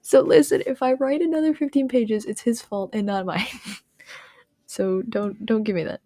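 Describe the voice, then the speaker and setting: A woman in an improvised intimate roleplay, talking softly and affectionately to a partner in a rambling, often breathy, near-whispered way. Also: on the high side, steady tone, a little slow, no echo, clean studio sound. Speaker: audio roleplay storyteller.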